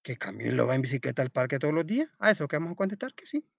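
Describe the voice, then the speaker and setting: A man's voice: mid-range voice, very animated, very fast, creaky, no echo. A man reading a children's school lesson aloud like a teacher, step by step, posing questions and answering them himself. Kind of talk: read-aloud storytelling and step-by-step lesson narration.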